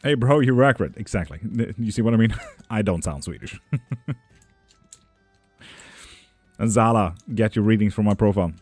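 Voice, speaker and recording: A man's voice: lowish voice, a little fast, a little mellow, no echo, soft background music. A young man streaming tarot readings and answering his chat in a loose, joking way, with plenty of swearing. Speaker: tarot reader and witchcraft streamer. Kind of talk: casual livestream chat, reading out viewer messages.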